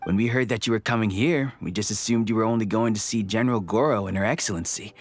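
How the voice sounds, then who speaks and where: An actor voicing a good-natured, teasing war veteran in a video game. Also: male voice, lowish voice, quick pace, no echo. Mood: warm, reassuring.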